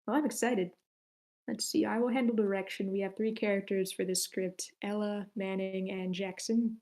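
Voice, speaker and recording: A woman's voice: medium pitch, fairly even intonation, moderate pace, darkish tone. Woman casually hosting an online session. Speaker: host of a script table read. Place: online group voice call.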